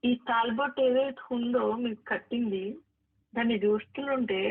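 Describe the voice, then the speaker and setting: A woman's voice: mid-range voice, gravelly. A woman arguing in a private phone call, emphatic and repetitive, reproaching the person she is talking to. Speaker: actress. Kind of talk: heated private phone conversation. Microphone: phone line. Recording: narrow, tinny recorded phone call.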